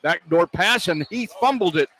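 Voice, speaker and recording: A man's voice: sits high, lively intonation, moderate pace, fairly bright tone. An American man calling live basketball play-by-play and reading sponsor spots as a radio announcer. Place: broadcast position inside a large indoor arena. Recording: live arena broadcast with background noise. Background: arena ambience and noise.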